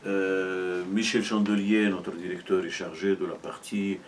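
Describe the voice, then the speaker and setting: A middle-aged man from Morocco speaks in a lowish voice, explaining things patiently and often pausing to hesitate. He sounds a little distant from the microphone, and a faint ambient hiss runs underneath him. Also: level tone. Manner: measured, explanatory, many hesitations. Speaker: university arts and culture officer. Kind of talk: interview answers.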